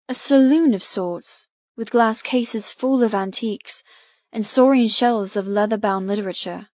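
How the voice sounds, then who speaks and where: A woman narrates a first-person novel in a medium-pitched voice. Her delivery is measured and dramatic. The sound is very dry and close, with slightly muffled highs. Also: no echo. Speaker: audiobook narrator.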